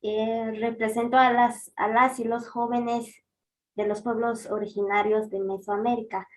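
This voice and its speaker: A young woman whose first language is Tzeltal, speaking hesitantly as a youth representative at a conference session, with many pauses and fillers. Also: a little high, flat delivery, slight background noise, poor audio. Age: young adult.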